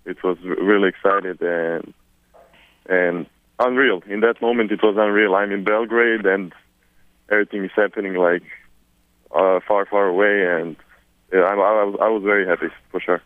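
A young man from Serbia with a Serbian accent, answering reporters on a conference call, halting and repeating words with many fillers. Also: fairly low pitch, fairly even intonation, no echo. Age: 20s. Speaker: newly drafted basketball player. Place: at home, far from the press. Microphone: telephone. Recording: tinny dry phone line.

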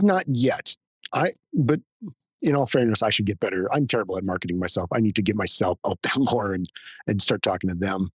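A hoarse man who is a guest on a podcast, chatting modestly with frequent restarts. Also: lowish voice, dry recording, highs cut off. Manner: modest, hesitant, many restarts. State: hoarse, recovering from illness. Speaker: podcast guest, hobby photographer. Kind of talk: casual interview chat.

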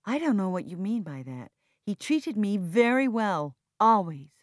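A woman's voice: mid-range voice, sing-song, unhurried, dry recording, clean studio sound, pitched above the performer's own voice. A woman narrator playing an earnest, strong-willed and loyal woman in mourning, emphatic and reproachful, in an audiobook drama. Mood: defensive, impassioned.

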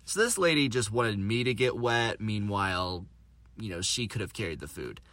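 A man's voice: lowish voice, expressive, moderate pace, fairly bright tone, dry recording. A young man hosting his own podcast, telling stories in a rambling, self-deprecating way with lots of fillers. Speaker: podcast host. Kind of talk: casual storytelling monologue.

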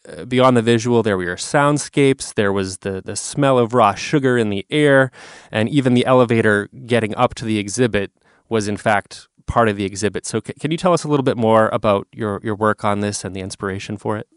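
A man hosting an interview, asking long, measured questions that set up the topic. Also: lowish voice, clean tone, no room sound, studio quality. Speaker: interviewer host.

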